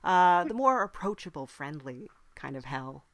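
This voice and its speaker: A woman's voice: fairly low pitch, very animated, moderate pace, a bit bright, no echo. A woman playing a mild-mannered, friendly demon who hosts a comic podcast, speaking politely and hesitantly. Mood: mild, droll. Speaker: podcast host. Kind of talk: improvised comic interview in character.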